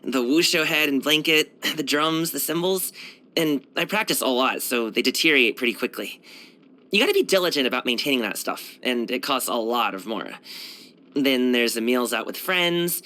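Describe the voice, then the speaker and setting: A man's voice: mid-range voice, pushed and bright. A man voicing a brash, cheerful young martial arts dancer and guard in a video game, chatting casually in a slangy, boyish, self-assured way. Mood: casual, matter-of-fact. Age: young adult. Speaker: video game character voice actor. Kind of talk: casual, conversational game voice lines.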